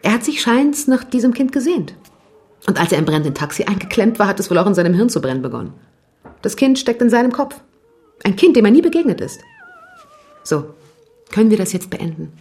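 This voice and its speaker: A woman's voice: medium pitch, big pitch swings, brisk, pauses often, a little mellow. An actress playing a curt, unsentimental divorced mother in a radio drama, dismissive and guarded as she answers police questions. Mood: cool, dismissive. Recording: music under the voice.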